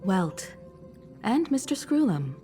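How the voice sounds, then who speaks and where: A woman's voice: mid-range voice, very animated, slow, dark tone. An actress playing a practical, reassuring woman leader in an anime game. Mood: concerned. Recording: music behind the voice.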